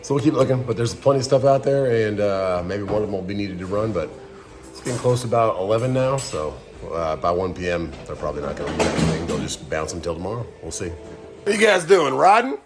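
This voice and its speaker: A man's voice: fairly low pitch, fast, faint music behind. A man in his thirties with a groggy voice, talking casually through a trucking vlog in a loose, rambling way, with a lot of fillers. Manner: rambling, relaxed talk with fillers. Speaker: owner-operator truck driver vlogger. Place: home kitchen and car.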